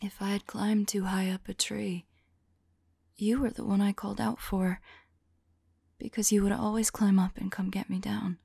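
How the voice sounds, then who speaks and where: ASMR roleplay: ancient female vampire played by a woman, tender and sorrowful, mellow whispery voice with some creak, intimate and hesitant. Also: mid-range voice, level tone, normal speed, no room sound. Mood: wistful, tender. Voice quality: soft, breathy, whispery. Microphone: close microphone. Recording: close, dry microphone.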